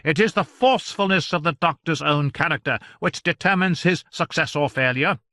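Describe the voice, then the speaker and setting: A man's voice: on the high side, moderate pace, bright, forceful tone, no echo, clean studio sound, pitched above the performer's own voice. A man voicing a pompous male royal physician who speaks in a haughty, commanding, scornful way. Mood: lecturing.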